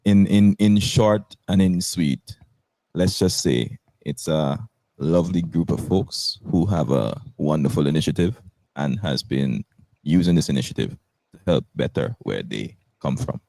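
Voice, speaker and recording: A man's voice: a bass voice, unhurried, vocal fry, warm tone, dry recording. A man in his twenties hosting a casual talk show, rambling and repeating words. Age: 20s. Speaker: host.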